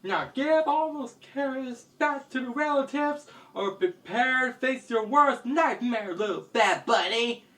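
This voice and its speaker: Storyteller as bold, bright superhero girl. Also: sits high, lively intonation, measured pace, hardly any pauses, pitched above the performer's own voice. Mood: stern, defiant. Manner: declarative, commanding, dramatic. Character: bold, confident female superhero girl named Dreamer. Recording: distant mic, faint background noise.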